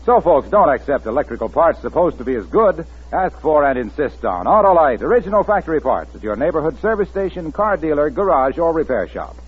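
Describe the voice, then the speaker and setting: An American man works as a radio pitchman, with a highish, very animated, polished delivery in 1940s style. The recording is tinny and old. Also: brisk, hardly any pauses, husky. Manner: polished, emphatic salesman delivery. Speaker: announcer and sponsor pitchman. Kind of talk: 1940s radio commercial announcing.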